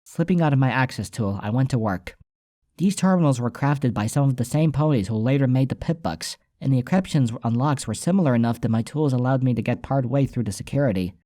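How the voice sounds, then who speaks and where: A man's voice: mid-range voice, brisk, warm tone, no room sound, clean studio sound. A man reading scripted first-person story narration as an audiobook narrator.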